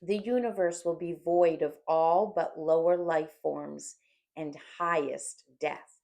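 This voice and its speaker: A woman's voice: low-pitched, fairly even intonation, slow, vocal fry, a little mellow, exaggerated voice. A woman voicing a scoffing male devil with grand, theatrical mockery in a dramatic audiobook reading. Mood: gloating menace.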